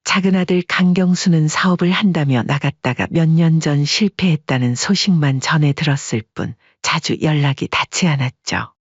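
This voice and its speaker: A man narrating a family story in calm, measured audiobook style. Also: fairly high pitch, slightly rough, no echo, highs cut off.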